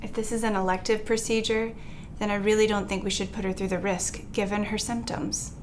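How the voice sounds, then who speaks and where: A woman playing a calm, firm anesthesiologist who explains things tactfully in a training role-play. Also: medium pitch, a little fast, noisy background, natural voice. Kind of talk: role-played training dialogue.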